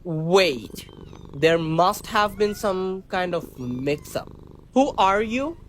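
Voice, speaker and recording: A man's voice: sits high, expressive, takes it slowly, slight background noise. A man playing a pompous, melodramatic spaceship captain in a sci-fi comedy radio drama. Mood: reassuring. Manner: grandiose, commanding.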